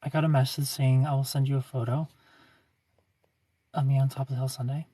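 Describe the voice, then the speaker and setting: A man in his thirties hosting a casual livestream, reading viewer comments and greeting them by name in a smooth, laid-back way. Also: mid-range voice, level tone, fairly quick, noisy background. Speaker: livestream host.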